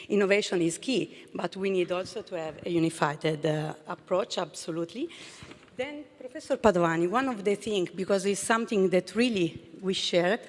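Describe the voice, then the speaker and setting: Italian-accented woman thanking conference speakers. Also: on the low side. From southern Italy. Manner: halting, many fillers, warm thanks. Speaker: pharma general manager giving closing remarks. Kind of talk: closing speech at a conference. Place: conference hall. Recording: long reverb of a conference hall.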